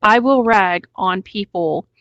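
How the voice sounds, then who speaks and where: A woman's voice: mid-range voice, slow, fairly bright tone, no room sound, highs cut off. A woman chatting casually and emphatically, giving her opinions in an informal way and swearing freely.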